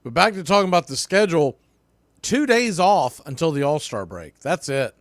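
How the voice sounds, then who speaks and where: Higher-voiced man calling baseball, dry sound. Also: big pitch swings, moderate pace, no room sound. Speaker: baseball play-by-play announcer. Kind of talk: live radio sports commentary.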